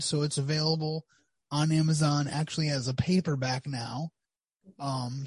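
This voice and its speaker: A man's voice: a little high, no echo. A man hosting a conversational interview podcast, speaking as an author to his guest and listeners.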